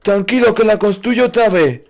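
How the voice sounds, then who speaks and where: A man's voice: sits high, normal speed, darkish tone. A man doing playful roleplay commentary over a video game, talking in a childlike, naive, exclamatory way. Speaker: gaming video host.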